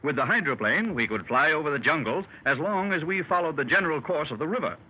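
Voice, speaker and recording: A genteel middle-aged explorer who tells his adventure to gentlemen at a club in polished, formal phrases. His voice is a little high and swoops up and down expressively. It comes through a dull, muffled 1930s radio transcription with faint hiss. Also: lively intonation, fast talker, husky. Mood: measured. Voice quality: formal, clubby storyteller. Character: learned explorer Doctor Roberts, middle-aged man. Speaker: explorer recounting his expedition. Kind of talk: 1930s radio drama acting.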